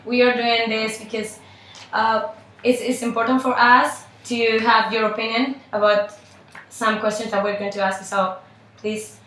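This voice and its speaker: A woman's voice: fairly high pitch, level tone, a little slow, fairly bright tone. A woman co-moderating a focus group, talking hesitantly with many fillers. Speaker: market research co-moderator. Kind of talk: role-played focus group. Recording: echoey room.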